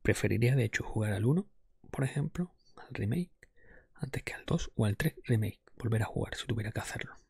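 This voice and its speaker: ASMR host, male, Spain Spanish accent. Whispered, very husky and breathy with some fry, dry close-mic sound, informal opinionated chat. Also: lowish voice, lively intonation, fairly quick, no echo. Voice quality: breathy, mostly whispered, soft-spoken. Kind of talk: ASMR, whispered casual video game review. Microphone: close microphone.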